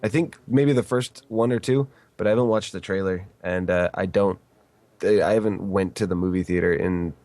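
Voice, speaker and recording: A man's voice: low-pitched, normal speed, darkish tone, no room sound. A man from California, a guest on a casual gaming podcast, talking in a laid-back, plain way. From Stockton, California.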